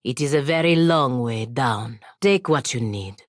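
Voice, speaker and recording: A woman's voice: rumbling low, expressive, dry recording. A woman playing a stern, proud feathered warrior in a fantasy video game, speaking formally and measuredly with dry sarcasm. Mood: wary, grim. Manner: formal, measured, dryly sardonic, avoids contractions. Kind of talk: scripted video game companion voice lines.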